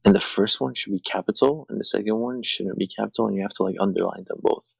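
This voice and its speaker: Gravelly young man joking on a call. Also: lowish voice, fast talker, no echo, rough recording. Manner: rambling, laughing, swearing. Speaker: podcast host. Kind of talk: crude comedy banter. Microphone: remote call over shaky wi-fi.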